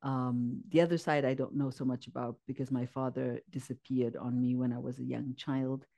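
A woman from Zimbabwe, a podcast guest telling her life story in an earnest way, often pausing and searching for words. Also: very deep voice, level tone, creaky, dark tone. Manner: earnest, searching for words with many fillers and laughs. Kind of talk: casual interview, personal story.

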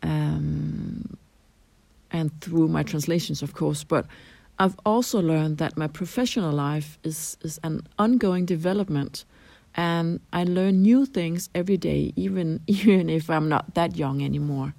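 A middle-aged Danish woman with a Danish accent, answering interview questions in a measured way with frequent ums and restarts. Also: deep voice, gravelly, dark tone, no room sound. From Denmark. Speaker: translator interviewee.

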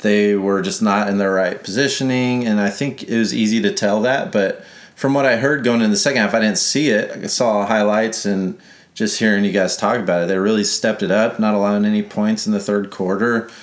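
Man from Mississippi, low voice, rambling sports chat, echoey room. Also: steady tone, fairly quick. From Mississippi, United States. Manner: rambling, self-correcting, playful. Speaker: podcast co-host.

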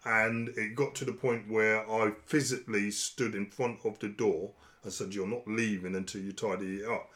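A man, in a candid, earnest conversation, getting firm and louder when he presses a point. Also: steady tone, normal speed, hardly any pauses. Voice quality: deep voice.

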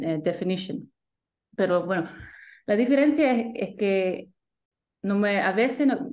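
Australian-accented woman, hesitant and lowish. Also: a little mellow. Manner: hesitant, restarts and mixes in English words. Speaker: guest. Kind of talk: casual interview.

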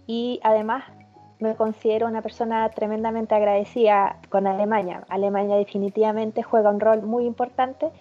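A woman born in Chile and raised in northern Germany, answering interview questions in a composed, measured way. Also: fairly high pitch, dull audio. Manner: measured and composed, with small restarts. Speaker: guest, regional trade representative.

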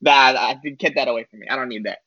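A man's voice: mid-range voice, fast, pushed and bright, breathy edge, dry recording, dull audio. A man who is a comedian, trading sarcastic jokes in a casual podcast chat and laughing as he talks. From United States. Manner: joking, laughs mid-sentence, sarcastic asides.

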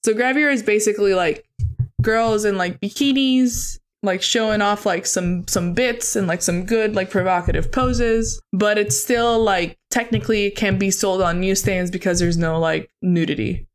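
A woman's voice: medium pitch, moderate pace. Woman, podcast co-host, casual chat, constant "like" fillers. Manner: chatty, heavy use of "like" as filler.

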